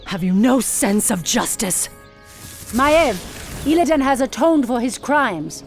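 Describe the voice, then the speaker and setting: An actress playing a proud, fierce night elf warrior priestess with an imperious, dramatic delivery in a fantasy video game. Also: female voice, on the high side, lively intonation, slow pace, faint music behind. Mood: indignant.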